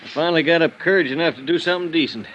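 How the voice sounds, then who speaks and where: An American man acting a self-loathing, boozy architect in an old-time radio drama, confessing in a rambling, halting, self-mocking way. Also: fairly high pitch, a bit bright, highs cut off, lightly acted. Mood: rueful, self-mocking. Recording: old radio recording, faint noise.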